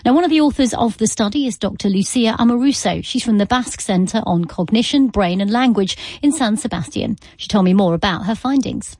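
A woman's voice: a little high, a little mellow, dry recording. A woman presenting a radio news programme, reading scripted links and putting questions to guests.

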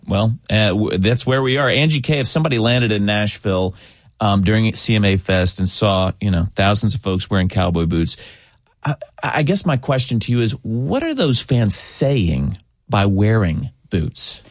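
Male radio host, animated pitch, dry studio sound, casual. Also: normal speed, dry recording. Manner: conversational, hesitant restarts. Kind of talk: radio talk show hosting. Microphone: studio microphone.

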